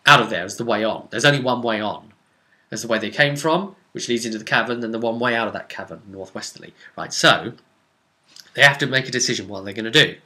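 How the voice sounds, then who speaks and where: A man recounting a tabletop role-playing session as game master, in a casual, rambling, animated storytelling way. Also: medium pitch, quick pace.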